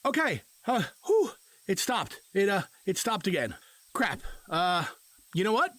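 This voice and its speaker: An exasperated, sardonic husband in an audio fantasy drama, acted by a man. His pitch swings around a lot as he blusters, and the recording is close and dry. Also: sing-song, a little slow, a bit bright, dry recording, exaggerated voice. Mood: frustrated. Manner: sarcastic, blustering.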